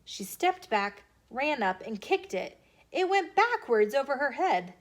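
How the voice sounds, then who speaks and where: A woman hosting storytime, reading aloud to children in an expressive, deliberate storytelling way. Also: high-pitched. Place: filmed in a bookstore.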